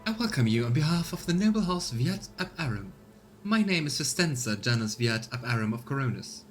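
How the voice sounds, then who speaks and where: A man's voice: medium pitch, big pitch swings, brisk, faint music behind, natural voice. A man voicing a gracious noblewoman governor who speaks in a formal, ceremonious way, for a game read-along. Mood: courtly, gracious.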